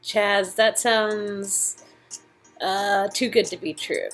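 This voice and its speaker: A woman's voice: medium pitch, little pitch movement, very slow, soft background music. A woman playing an earnest, modest young aspiring actress, chatting a little hesitantly with an old friend in comedic dialogue. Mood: sincere, doubtful. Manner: giggly, hesitant, self-effacing. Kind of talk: improvised comedic dialogue performance.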